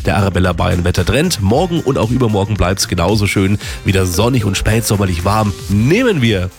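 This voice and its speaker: A man hosting a radio show, chatting in a relaxed, jokey way. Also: on the low side, a little fast. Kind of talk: casual radio moderation. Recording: music bed behind voice.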